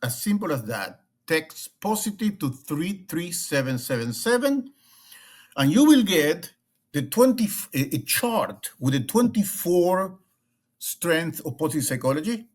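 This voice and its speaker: A man's voice: a little high, very animated, a little slow. A middle-aged man from Argentina with an Argentine Spanish accent, speaking as an interview guest and often restarting and repeating words. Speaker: guest, leadership consultant. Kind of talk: conversational interview.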